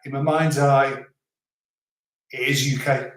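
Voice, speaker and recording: Chatty man with softened Scouse accent. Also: medium pitch, level tone, takes it slowly. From Liverpool, England. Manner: chatty, rambling, stops and restarts. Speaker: live stream host. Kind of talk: casual live chat reading out viewer comments. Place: home in the French countryside. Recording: roomy echo.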